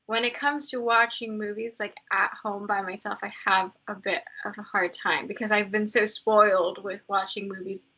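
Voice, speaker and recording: Young woman, American accent, bright tone, casual chatty guest, filler words. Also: medium pitch, fairly even intonation, normal speed, few pauses, poor audio. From United States. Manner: chatty, lots of likes and ums. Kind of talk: casual chat.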